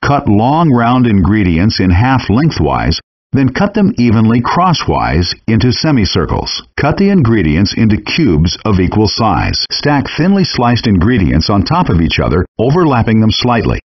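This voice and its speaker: A man narrates cooking instructions in a calm, measured, instructive manner, his fairly low voice moving with a lively lilt. There is a slight huskiness to his tone, and the game audio sounds dull and lo-fi. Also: husky. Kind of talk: scripted instructional voice-over.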